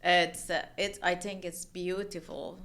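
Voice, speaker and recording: A woman from Iran with a Persian accent, chatting as a podcast guest, laughing often and speaking in halting, non-native phrasing. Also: lowish voice, little pitch movement, creaky, a bit bright. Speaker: podcast guest, mortgage loan officer. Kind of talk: casual interview chat.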